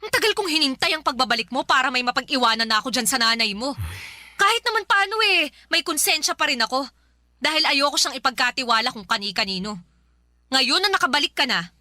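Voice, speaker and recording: A Filipino actress playing an embittered, worn-out live-in partner in a radio drama, reproachful, tearful and emphatic. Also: female voice, high voice, animated, brisk, pushed and bright, no room sound, studio quality, exaggerated voice. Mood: resentful. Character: embittered, exhausted live-in partner and young mother leaving. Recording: music bed under the voice.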